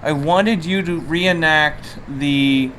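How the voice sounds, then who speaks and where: A man with a slightly high voice hosts a casual chat. He is blunt and teasing, in an informal bantering style. Light ambient noise sits behind him. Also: takes it slowly, bright, forceful tone, rough recording. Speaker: podcast host.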